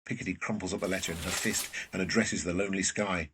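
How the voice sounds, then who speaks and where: A man with a very husky voice reading scripted present-tense narration for a drama, recorded away from the microphone. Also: low voice, steady tone, fast talker, distant mic, noisy background.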